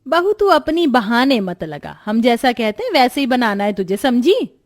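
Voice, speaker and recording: Woman voicing a bossy, high-voiced mother-in-law. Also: very animated, moderate pace, dry recording, clean studio sound. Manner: commanding, scolding. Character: bossy, demanding middle-aged Indian mother-in-law.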